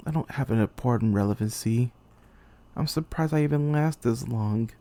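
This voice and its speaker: A man playing a betrayed, despairing young military commander in a theatrical, halting anime-game monologue. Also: medium pitch, warm tone, lightly acted. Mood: bitter resignation. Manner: theatrical, halting, anguished delivery. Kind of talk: dramatic monologue, anime-game fan voice reel.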